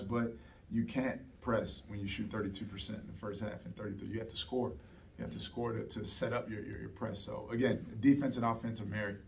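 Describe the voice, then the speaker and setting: A middle-aged man, a basketball coach, answering postgame questions earnestly at a press conference, often repeating words as he restarts. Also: lowish voice. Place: press conference room, seated at a table. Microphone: table microphone on a stand. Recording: heard from some distance.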